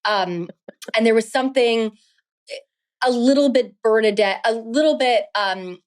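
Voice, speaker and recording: A woman from New Jersey, a guest actress, chatting in an animated way, telling stories about her past. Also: a little high, measured pace. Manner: animated storyteller, bright and chatty. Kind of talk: casual interview chat.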